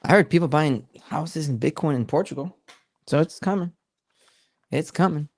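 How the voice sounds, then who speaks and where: A man hosting a casual crypto podcast, talking in loud, swearing, hyped banter with his co-hosts and guest. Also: a little high, moderate pace, no room sound. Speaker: podcast host. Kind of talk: casual crypto show chat.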